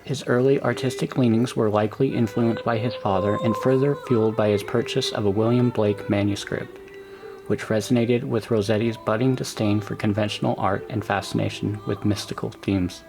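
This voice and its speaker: A male narrator with a rather low voice and a noticeable gravelly grain reads a documentary script. His delivery is measured and even. Music plays clearly beneath him. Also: rough recording. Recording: voice-over with music behind.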